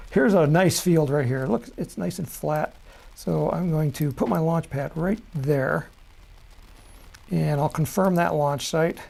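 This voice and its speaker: A middle-aged man from Chicago talks through a screen-share demo at his desk mic. His voice is mellow and gravelly. He rambles, corrects himself, and chuckles at his own slips. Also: a little high, takes it slowly. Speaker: rocketry software presenter.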